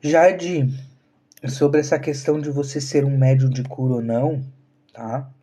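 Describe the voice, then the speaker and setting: A man's voice: mid-range voice, fairly even intonation, unhurried, warm tone. A man, talking earnestly to viewers on a live stream and asking rhetorical questions. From southern Brazil. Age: 30s. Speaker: spiritual channel host.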